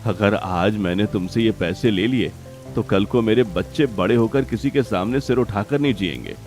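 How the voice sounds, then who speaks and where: A man's voice: on the low side, normal speed, a little mellow, faint music behind, natural voice. A man playing a proud, stubborn village father and elder brother in a cartoon family drama, speaking firmly and earnestly. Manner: firm, earnest, dignified. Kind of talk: Hindi cartoon family melodrama.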